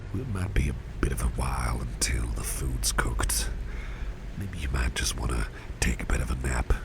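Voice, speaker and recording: A man playing a doting, protective businessman boss in an ASMR roleplay, murmuring in a gentle, courteous, breathy whisper with unhurried, reassuring repetition. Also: a bass voice, heavily acted. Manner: gentle, unhurried, hesitant pauses, repeats phrases reassuringly. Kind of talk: ASMR roleplay, close personal attention. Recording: audible steady background noise.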